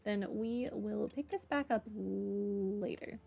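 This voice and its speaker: A woman's voice: mid-range voice, slow, creaky, mellow. A woman giving casual commentary as she plays through a video game. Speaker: game streamer.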